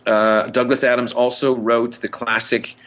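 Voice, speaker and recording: A man's voice: medium pitch, little pitch movement, moderate pace, fairly bright tone. A man co-hosting a podcast in casual, enthusiastic pop-culture chat, rambling and often interrupting himself. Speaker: podcast co-host.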